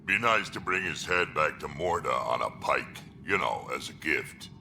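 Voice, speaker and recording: An actor playing a gruff, aggressive alien clan warrior in a video game, blunt and menacing. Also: male voice, expressive, normal speed, pushed and bright, slight background noise, heavily acted. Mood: menacing relish. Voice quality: deep, gravelly.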